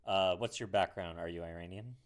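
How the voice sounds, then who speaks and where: A man's voice: low voice, fairly quick. A man hosting a podcast interview, asking questions in a hesitant way with many fillers and restarts. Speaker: podcast host. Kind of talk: interview conversation.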